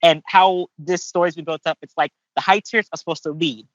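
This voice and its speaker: A man with a slightly higher voice who thinks aloud and keeps restarting his sentences. His mic sounds a little muffled and dry, with no room around it. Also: steady tone, a little fast, fairly bright tone, dry recording. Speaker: podcast co-host and voice actor. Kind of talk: casual podcast chat.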